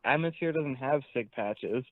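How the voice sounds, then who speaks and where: A man's voice: mid-range voice, unhurried, no room sound, narrow, tinny audio. A man hosting a casual livestream chat while sick, talking bluntly, rambling and swearing. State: sick, tired voice. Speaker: streamer host.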